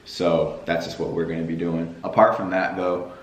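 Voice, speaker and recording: A young man with a hoarse, worn-out voice chatting casually on his family vlog, with a playful preacher-like cadence. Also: sits low, little pitch movement, normal speed, roomy sound. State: hoarse from screaming at games. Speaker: vlogger.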